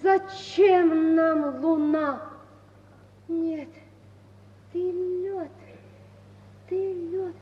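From off the mic in an echoing old radio play, a young wife making a show of desperate passion. Her voice is extremely high and melodramatic. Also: steady tone, very slow, long pauses, full character voice. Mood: reproachful, passionate. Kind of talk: 1950s Russian radio theatre acting. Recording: distant, dull, reverberant old radio recording.

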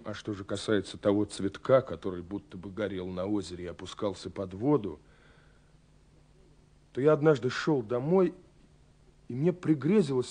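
An actor playing a young, romantic, self-effacing carpenter reading out his love letter in an agitated, halting, confiding way, in a Soviet radio play. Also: on the low side, slightly rough. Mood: dreamy, hushed. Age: young adult. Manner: agitated, halting, confiding, with swells and hushed drops.

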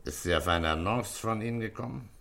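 Tiefer, knapper Kriminalkommissar. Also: male voice, on the low side, creaky, pushed and bright. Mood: dry, insistent. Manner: terse, probing, unhurried. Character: calm, dogged Parisian police commissioner questioning witnesses. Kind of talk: German radio play acting.

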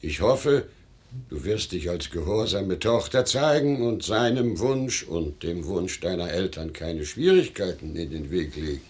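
A man's voice: low-pitched, animated, measured pace, husky, full character voice. An actor playing a good-natured but anxious middle-aged father in a radio play, speaking formally and earnestly. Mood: solemn, urging. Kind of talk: German radio play acting.

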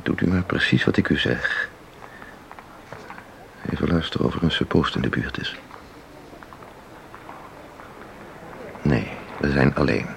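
A man's voice: rumbling low, moderate pace, vocal fry, fairly bright tone, slight background noise, heavily acted, low-quality recording. An actor playing an intense, mysterious man in a radio drama, speaking with insistent, theatrical deliberation. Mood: persuasive. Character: intense, mysterious man who claims to enter paintings. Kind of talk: Dutch radio drama acting.

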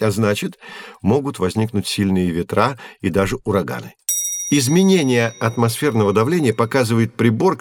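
An actor playing a patient male physics professor in an educational audio drama, explaining clearly and didactically. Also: lowish voice, no echo, lightly acted. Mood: patiently explaining.

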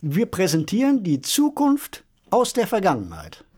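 A man's voice: sits high, wildly swooping pitch, a little slow, darkish tone, slightly rough. A man in his sixties presenting a podcast conversationally, explaining and recounting things like a storyteller. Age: 60s. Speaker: podcast co-host; science fiction author.

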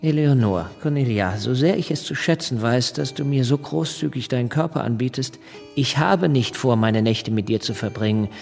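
An actor playing an inhibited, detached revolutionary leader in a radio play, speaking quietly and deliberately, as if thinking to himself. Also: male voice, mid-range voice, gravelly, soft background music, close to an everyday voice. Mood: detached, bitter. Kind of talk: intimate radio drama acting with inner monologue.

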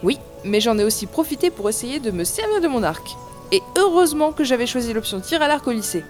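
An enthusiastic, curious adventuress in a fantasy audio drama. She talks eagerly and chattily in a fairly high voice, with music clearly behind her. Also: sing-song, fairly quick. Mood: cheerful pride. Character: enthusiastic, curious female adventurer and starry-eyed fan. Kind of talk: French fantasy audio fiction dialogue.

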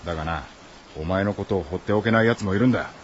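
An actor playing a gruff, rough-tongued, self-sacrificing adventurer in a radio drama, with coarse and blunt speech. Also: male voice, low-pitched, fast, slight background noise, lightly acted. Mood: gruff resolve. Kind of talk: Japanese radio drama acting. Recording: dull band-limited audio under loud music.

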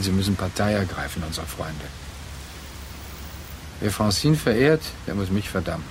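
An actor playing a brooding man haunted by a former lover, speaking in a measured, deliberate way in a radio drama. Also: low-pitched. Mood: bitter, reflective. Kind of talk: radio drama acting, slow reflective monologue. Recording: faint background noise.

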